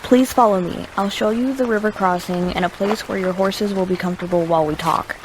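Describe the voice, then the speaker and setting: A woman playing a composed, practical survivor leader, measured and direct, in an audio drama. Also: mid-range voice, steady tone, a little hiss or hum, natural voice, poor audio.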